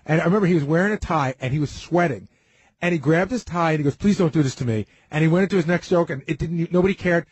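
Fast-talking husky man telling stories. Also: on the high side, no room sound. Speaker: radio show staffer on a talk panel. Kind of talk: casual chat and anecdotes.

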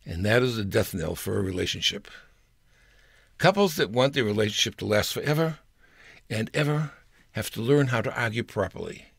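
A man's voice: medium pitch, vocal fry, a bit bright, husky, no room sound, studio quality. An older man reading his own humorous personal story aloud in a wry, storytelling manner. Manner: wry storyteller with playful voices.

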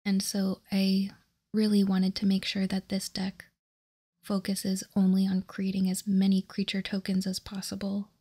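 A woman softly whispering ASMR narration close to the mic, calmly and methodically explaining as a host. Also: mid-range voice. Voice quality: soft, breathy whisper. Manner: calm, measured explaining. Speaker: host of a card game ASMR channel.

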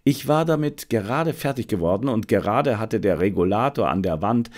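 A man narrating a detective story as an audiobook, expressive and deliberate in his storytelling. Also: lowish voice.

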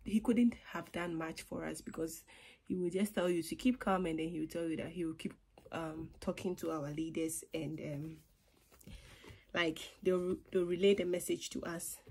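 A woman's voice: on the low side, fairly even intonation, moderate pace, a little mellow, slightly rough. A young woman, talking casually to a phone camera in her small bedroom, sometimes sinking to a whisper. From Ghana. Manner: chatty, drops to a whisper at times, laughs between points. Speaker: vlogger. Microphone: phone camera on a table.

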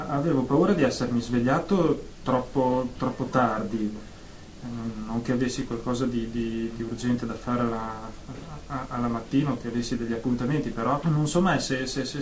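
A man's voice: mid-range voice, natural voice. A man playing a timid, fretful therapy patient in a radio drama, stammering and repeating words hesitantly. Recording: echoey room, faint noise.

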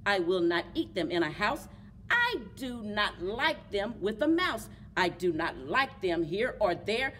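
Grumpy rhyming refuser read by a woman: emphatic, slow, swooping pitch, clear room noise. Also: big pitch swings, a bit bright. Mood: grumpy refusing. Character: stubborn, grumpy creature refusing food. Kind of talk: rhyming picture-book read-aloud. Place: public library children's book corner.

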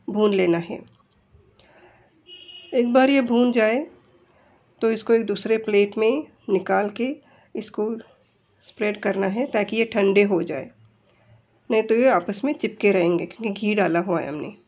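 A woman with an Indian accent explains cooking steps in a mid-pitched voice. She speaks as a home cook guiding viewers through each stage. The audio is slightly dull and lo-fi. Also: unhurried, pauses often, a little mellow. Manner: explanatory, step-by-step. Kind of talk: instructional recipe voice-over.

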